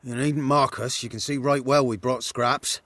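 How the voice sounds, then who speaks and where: A man's voice: medium pitch, fairly even intonation, moderate pace, fairly bright tone, no echo, natural voice. An actor playing a humble, superstitious male villager in a video game, speaking earnest rustic dialect. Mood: resentful, resigned. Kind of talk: video game character acting.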